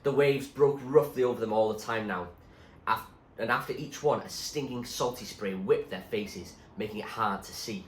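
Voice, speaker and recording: A man reading a story aloud in an expressive, suspenseful narrating style. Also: on the low side, normal speed. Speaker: reader, teacher. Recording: echoey room with faint background noise.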